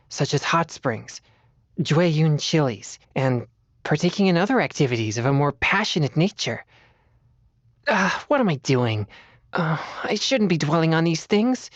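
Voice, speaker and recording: A man voicing an earnest, disciplined teenage boy exorcist in a video game, speaking in a formal, measured and slightly bashful way. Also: on the high side, no room sound, muffled recording, natural voice. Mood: flustered. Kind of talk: video game character voice lines.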